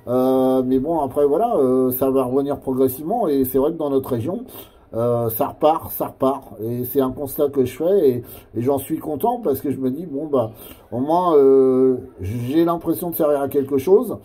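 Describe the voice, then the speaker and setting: Mellow, halting man in casual radio chat. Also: medium pitch, husky. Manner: hesitant, halting, repeats phrases. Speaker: amateur CB radio operator.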